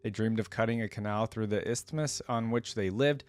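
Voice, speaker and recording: A man's voice: fairly low pitch, normal speed, dry recording. A man hosting a reaction video, moving between casual, curious commentary and reading lore aloud in a conversational way.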